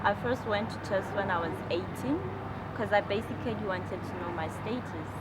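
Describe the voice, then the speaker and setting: Young woman from botswana, plain listing delivery, loud road traffic outdoors. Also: medium pitch, normal speed. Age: 20s. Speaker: interviewee. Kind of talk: personal testimony. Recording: loud street traffic behind.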